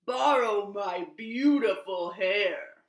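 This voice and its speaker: A woman voicing a proud male lion from a children's storybook, giving advice in drawn-out, emphatic phrases. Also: medium pitch. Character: male lion, proud slow-talking adviser, storybook animal. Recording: roomy, echoey home recording.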